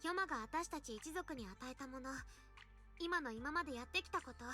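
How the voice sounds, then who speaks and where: Very high, bright, bubbly game girl, curious and chatty, talks fast and bounces all over. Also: lively intonation, soft background music. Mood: earnest. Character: curious cheerful young girl scholar. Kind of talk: Japanese game voice acting.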